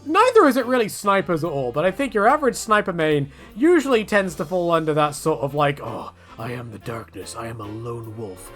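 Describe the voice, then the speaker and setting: Lively, high-pitched man on a casual rant. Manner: rambling, tongue-in-cheek, self-interrupting. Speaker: host of a game-character rant video. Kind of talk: casual opinionated rant with quoted impressions. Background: music behind the voice.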